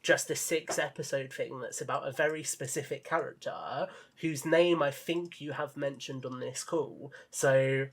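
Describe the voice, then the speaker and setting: A man chatting casually as a podcast guest, talking enthusiastically about his writing and quick to laugh. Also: mid-range voice, fairly even intonation, breathy edge. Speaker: podcast guest, audio drama writer and narrator. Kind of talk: casual chat.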